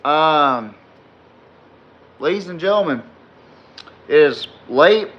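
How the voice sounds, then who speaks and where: Hoarse, slow-talking man hosting a sports podcast. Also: a little high, animated, lo-fi sound. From Texas fan, not necessarily from Texas. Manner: rambling, filler-heavy, reads and answers chat comments. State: slightly hoarse. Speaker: podcast host. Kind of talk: live-streamed sports podcast recap.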